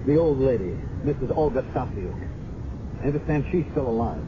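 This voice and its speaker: An actor playing a dogged, guilt-ridden police sergeant in an earnest, insistent 1970s radio drama style. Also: male voice, mid-range voice, lively intonation, brisk, close to an everyday voice. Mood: determined. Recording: muffled, noisy 1970s radio recording.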